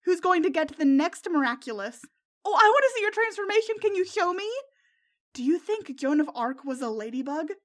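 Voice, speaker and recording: A woman voicing a loyal, excitable teenage girl who gushes like a superhero fan in a narrated story. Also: extremely high pitch, a little fast, voice pushed up, full character voice. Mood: squealing excitement. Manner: gushing, squealing when excited.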